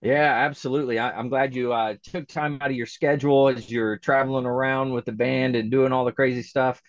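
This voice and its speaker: A man's voice: mid-range voice, brisk, no echo, muffled recording. A man in his forties hosting a podcast interview over a video call, upbeat and chatty. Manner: upbeat, chatty, animated when promoting. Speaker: podcast host. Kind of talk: casual interview. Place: indoor room with a virtual backdrop. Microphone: webcam video call.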